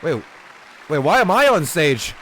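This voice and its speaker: A man streaming a game and reacting casually, with drawn-out, incredulous exclamations. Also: fairly high pitch, big pitch swings, some chatter behind, slight background noise, rough recording. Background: soft game music.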